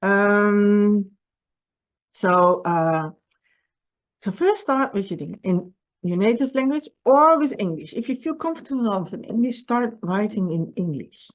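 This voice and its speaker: Dutch-accented woman publisher, middle-pitched, earnest and halting, on a slightly muffled recording. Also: animated. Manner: earnest, halting, repeats words and restarts. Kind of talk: personal video message with writing advice.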